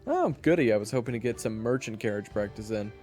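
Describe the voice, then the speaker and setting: A man's voice: lowish voice, expressive, fast talker, a little mellow, in a large hall, faint music behind, close to an everyday voice. A man playing a wry, sarcastic young adventurer who also narrates a fantasy audio roleplay. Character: young male adventurer hero, wry, irritable trainee and narrator.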